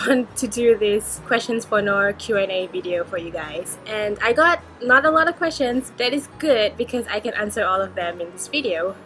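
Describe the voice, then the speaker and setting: Bright-voiced young woman vlogging. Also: medium pitch. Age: 20s. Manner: chatty and upbeat, apologetic, with frequent giggles. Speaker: book vlogger. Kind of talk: casual Q&A vlog.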